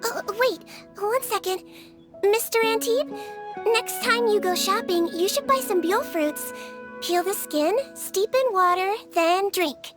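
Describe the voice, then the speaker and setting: A small, gentle Melusine nurse girl. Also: very high-pitched, slow. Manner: kind, caring, polite. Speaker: head nurse. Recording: game dialogue over music.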